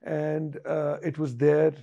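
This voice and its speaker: A middle-aged man, a singer and composer, talking through his career in an interview, with many pauses and fillers. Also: a little high, completely flat delivery, creaky, warm tone, dull audio. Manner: halting, many fillers and restarts. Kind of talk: reflective interview talk.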